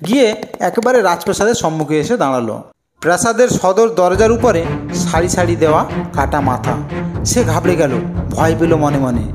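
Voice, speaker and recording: A woman's voice: on the low side, lively intonation, normal speed. A woman narrating a scripted folk tale as a storyteller for a cartoon. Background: music.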